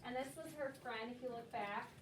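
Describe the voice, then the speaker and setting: High-pitched woman, schoolteacher, encouraging simple explanations, read-aloud discussion, echoey noisy recording. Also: fairly quick. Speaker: elementary school teacher. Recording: echoey, distant mic, noisy with clicks.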